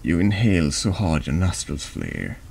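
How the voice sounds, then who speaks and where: A man reading a horror text game aloud as a dramatic narration, slightly halting. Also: low voice, very animated, gravelly, a little mellow. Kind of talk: slow dramatic horror narration, ASMR-lite. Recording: noticeable background noise.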